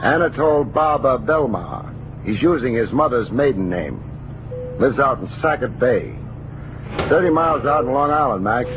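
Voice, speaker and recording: An actor playing a wisecracking, hard-boiled private detective of about forty in an old radio drama, wry and clipped. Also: male voice, medium pitch, expressive, normal speed, background noise, close to an everyday voice. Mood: breezy. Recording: dull old radio recording with music behind.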